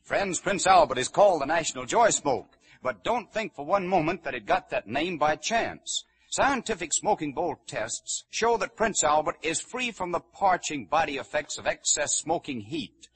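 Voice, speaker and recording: Old time radio pitchman, guy with a real raspy voice, slightly high, polished sales read. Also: lively intonation, moderate pace, few pauses, a bit bright. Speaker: radio advertising announcer. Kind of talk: scripted 1930s radio commercial. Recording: old 1930s radio broadcast recording.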